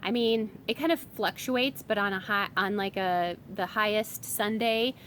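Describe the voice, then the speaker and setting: A woman's voice: medium pitch, unhurried, a bit bright, slight background noise. A woman in her thirties with a summer cold, chatting casually on her vlog as a homeschooling mom. She rambles a little, with lots of fillers and restarts. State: has a summer cold, voice a bit strained.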